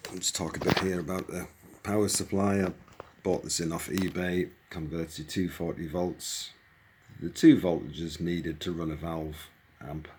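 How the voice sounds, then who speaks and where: A man's voice: low voice, fairly even intonation, moderate pace, a little mellow, slight background noise. A man giving a casual, hands-on explanation as a hobbyist, informal and thinking aloud as he goes. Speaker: hobbyist presenter demonstrating a modified radio.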